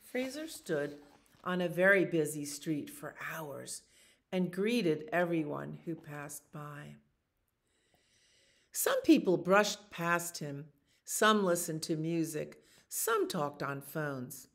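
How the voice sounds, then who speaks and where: An older woman in her sixties reads a children's picture book aloud. Her voice is low with a noticeable creak, and she takes her time, adding casual explanations as she goes. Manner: unhurried, explaining pictures to young listeners. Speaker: children's storytime reader. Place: small library children's room.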